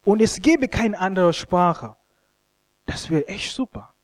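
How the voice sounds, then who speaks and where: A man, a Luganda speaker, preaching a sermon in an expressive, halting way and often stumbling over words. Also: high voice. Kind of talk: sermon with scripture reading and commentary.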